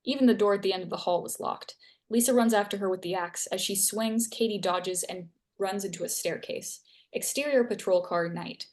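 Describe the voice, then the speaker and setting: A woman's voice: medium pitch, moderate pace, darkish tone. A woman narrating scripted action as the stage-direction reader at a live screenplay table read.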